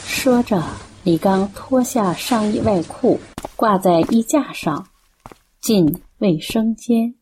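Slow, mellow woman narrator. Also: medium pitch, a little hiss or hum. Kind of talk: scripted radio drama narration.

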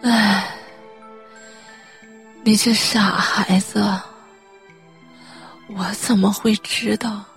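A woman's voice: mid-range voice, fairly even intonation, faint music behind. A woman playing an anxious, tearful, upright widowed mother in a Chinese radio drama, sighing and pleading. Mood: anguished, reproachful. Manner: sighing, pleading, slow and breathy.

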